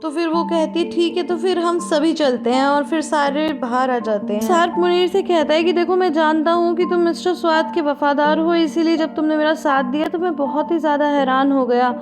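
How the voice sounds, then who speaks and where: Woman, recap host, bright high voice, chatty and direct with viewers, music bed underneath. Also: brisk, few pauses. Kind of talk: conversational plot recap. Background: background music.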